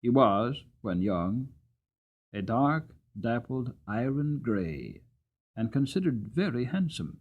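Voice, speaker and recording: A man narrating an audiobook in a dramatic, expressive and deliberate storybook style. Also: mid-range voice, phone-quality sound.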